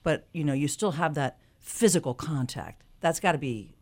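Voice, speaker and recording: Chatty lower-voiced woman radio host. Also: normal speed. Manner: chatty, enthusiastic, interjecting. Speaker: radio talk show host. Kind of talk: radio talk show interview. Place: radio studio.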